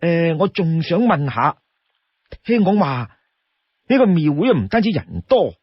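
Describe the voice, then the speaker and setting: A man's voice: fairly high pitch, very animated, unhurried, slightly rough, no room sound, full character voice. Man voicing a polite, inquisitive traveling trader. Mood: polite, curious. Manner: courteous, inquiring. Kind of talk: dramatized audiobook storytelling. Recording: dull, lo-fi, dry studio sound.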